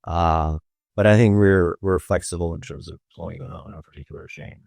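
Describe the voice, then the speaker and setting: A man giving informal interview answers as a company representative, in a hesitant, measured way. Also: sits low, steady tone, vocal fry, darkish tone, dry recording, clean studio sound.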